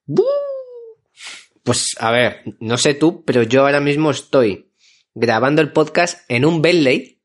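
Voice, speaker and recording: A man co-hosting a casual podcast, joking with ironic, deadpan boasting. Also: mid-range voice, a little slow. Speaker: podcast co-host.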